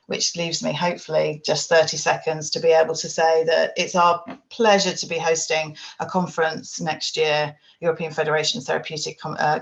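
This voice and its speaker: A woman delivers a webinar presentation in a low, even voice that barely rises or falls. Her tone has a gravelly, fry-edged texture, and she speaks in a steady, measured way, as if working through prepared remarks. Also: rough recording. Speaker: charity chief executive presenting.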